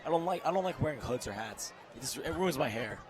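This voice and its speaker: A young man with a hoarse, strained voice doing excitable live play-by-play commentary outdoors at a football game. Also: mid-range voice, quick pace. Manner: excitable, shouts on big plays. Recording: loud outdoor stadium crowd noise.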